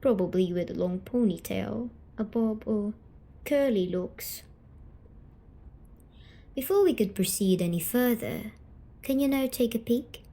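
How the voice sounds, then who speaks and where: Woman as female sleep demon, ASMR storyteller, soothing, coaxing, mellow tone, leisurely delivery. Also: mid-range voice, creaky. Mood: gentle coaxing. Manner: slow, soothing, coaxing, softly spoken. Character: gentle, teasing female sleep paralysis demon, comforting storyteller. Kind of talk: ASMR story-telling roleplay audio.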